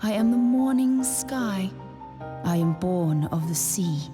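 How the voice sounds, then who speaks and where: An actress playing a solemn, poetic woman in a fantasy video game, reciting in a measured, incantatory way. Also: mid-range voice, lively intonation, over music. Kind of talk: scripted video game voice acting.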